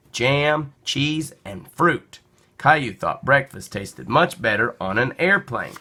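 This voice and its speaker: A man reads a children's picture book aloud. His voice is bright and forward, and he takes his time, shaping the story with lively, expressive swings of pitch. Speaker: storybook reader.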